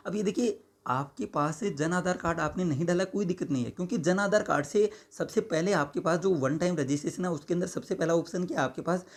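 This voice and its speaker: A hoarse man, explaining exam registration while answering viewer comments and tagging his sentences with "है ना" and "ठीक है". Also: high voice, fast talker. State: hoarse, sore throat. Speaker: exam-prep YouTuber.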